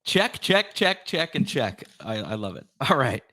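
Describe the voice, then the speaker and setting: Guy hosting a podcast, medium pitch, presenter style, affirming, no room echo. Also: sing-song, measured pace, pushed and bright, studio quality. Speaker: podcast host. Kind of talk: podcast interviewing and scripted outro.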